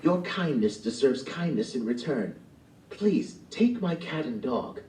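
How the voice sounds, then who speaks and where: A man voicing a gentle, mysterious old woman who gives solemn advice in a storybook play, recorded in a roomy space. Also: medium pitch, sing-song, a little slow, pitched above the performer's own voice. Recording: roomy, from far off the mic.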